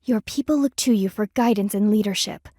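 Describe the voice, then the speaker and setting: A woman's voice: medium pitch, brisk, dark tone, no echo, studio quality, lightly acted. A woman voicing a stern, haughty panda army lieutenant in a video game, speaking in a formal, clipped, dryly disdainful way. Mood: earnest.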